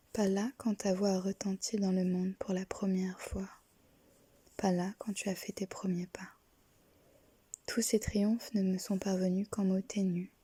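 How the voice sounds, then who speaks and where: A woman reads a poem almost entirely in a breathy whisper, her voice dark and mellow. She keeps her delivery slow and even, with little rise and fall. The recording is close and dry, with no room sound. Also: mid-range voice, no room sound. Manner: slow, hushed and intimate. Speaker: poetry reader. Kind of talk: whispered poetry reading.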